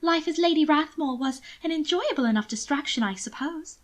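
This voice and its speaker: Woman performing aristocratic twin sister, high, very animated, doting, manipulative, affected, audiobook character. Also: a little fast. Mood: gushing, delighted. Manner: gushing, affected endearments, coaxing. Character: manipulative, doting upper-class young lady, twin sister.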